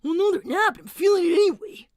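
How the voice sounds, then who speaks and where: A woman's voice: extremely high pitch, lively intonation, quick pace, no room sound, clean studio sound. A woman voicing a brainy, sensitive young boy fox in an improvised cartoon livestream, earnest, hesitant and easily exasperated. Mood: worried, exasperated. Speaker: character performer on a livestream. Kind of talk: improvised in-character conversation.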